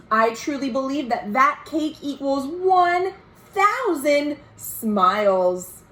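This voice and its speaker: A woman reads as an earnest young American girl writing home in a storybook. The voice is high and very animated, lingering over words with sing-song emphasis. It is slow and full of hope. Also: a little hiss or hum, exaggerated voice, poor audio. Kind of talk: expressive storybook letter reading.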